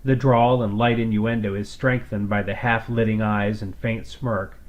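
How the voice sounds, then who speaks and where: A man's voice: lowish voice, flat delivery, moderate pace, muffled recording. A man narrating a scripted science fiction story as its storyteller.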